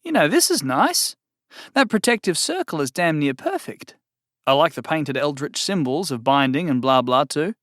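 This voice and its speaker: A man voicing a theatrical, sardonic eldritch demon in a drawn-out, booming delivery. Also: big pitch swings, fairly bright tone, no room sound, studio quality. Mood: chatty.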